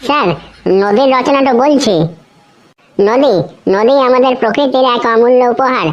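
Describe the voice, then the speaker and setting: A woman's voice: high-pitched, moderate pace, lo-fi sound, lightly acted. A woman voicing a cheeky, bluffing village schoolkid in a comedy cartoon, with slangy teasing speech. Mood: reciting earnestly.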